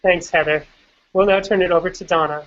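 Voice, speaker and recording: Lower-pitched woman moderating a panel. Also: steady tone, brisk. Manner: polite, organized, formal. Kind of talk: hosting a panel. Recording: slightly muffled recording.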